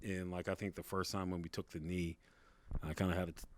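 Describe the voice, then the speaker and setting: Low-voiced man telling a story casually. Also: flat delivery, fast, no room sound. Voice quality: big, carrying voice. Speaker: podcast guest, stand-up comedian.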